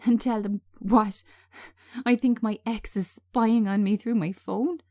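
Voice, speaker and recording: A woman reading a script as a traumatised, anxious woman on edge, agitated and halting as she repeats herself. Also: medium pitch, fairly even intonation, a little mellow, no echo, studio quality, close to an everyday voice. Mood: incredulous.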